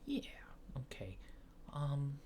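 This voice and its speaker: A man doing a softly spoken, often whispered ASMR roleplay as a mild-mannered alien space agent, murmuring hesitantly and casually. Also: mid-range voice, wildly swooping pitch, slow pace, slight background noise. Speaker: ASMR creator playing an alien abductor.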